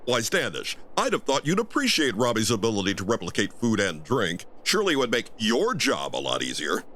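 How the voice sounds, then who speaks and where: An actor playing a courteous spaceship commander in an old-time radio sci-fi drama. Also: male voice, lowish voice, huge pitch swings, brisk, pushed and bright, slight background noise, full character voice. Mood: brisk, genial.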